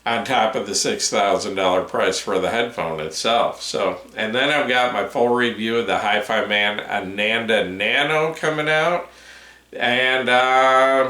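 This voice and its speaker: A man hosting a casual update vlog, rambling with many fillers, his voice tired and going hoarse. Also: medium pitch, measured pace, pushed and bright. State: hoarse, voice giving out. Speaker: headphone reviewer, channel host. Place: home room with his desk. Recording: roomy echo.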